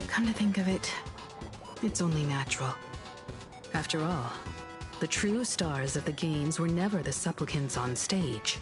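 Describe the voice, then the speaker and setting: A serious, analytical female strategist from a video game. Her lowish voice is measured and formal, set over clear background music. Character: composed, analytical, serious adult woman strategist.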